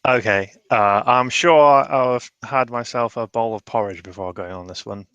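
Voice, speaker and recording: Man with mid-pitched voice, close dry sound. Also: moderate pace, a bit bright, smooth, dry recording, lo-fi sound. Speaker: roleplaying game player. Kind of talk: casual improvised roleplay chat.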